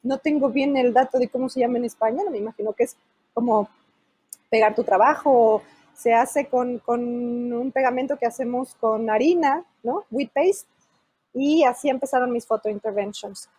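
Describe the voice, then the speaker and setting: Lively Mexican woman on a video call. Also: fairly high pitch, normal speed, breathy edge, no room sound, low-quality recording. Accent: Mexican Spanish. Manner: animated, gestures while talking. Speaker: illustrator and children's author. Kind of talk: interview over video call. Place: indoor room at home. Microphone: earphone headset mic on a video call.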